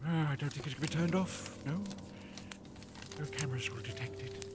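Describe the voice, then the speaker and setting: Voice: mid-range voice, normal speed, noisy background, natural voice. An actor playing a fussy but tender old grandfather Time Lord in an audio drama, speaking in hesitant, stammering bursts. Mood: flustered. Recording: loud music behind. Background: loud music.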